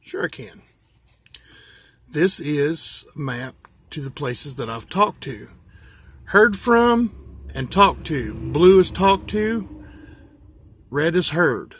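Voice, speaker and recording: An American man from West Virginia talking casually and informally as he shows things, pausing to think aloud. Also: fairly high pitch, very animated, fairly bright tone, husky. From West Virginia, USA. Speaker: hobbyist vlogger. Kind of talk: casual show-and-tell.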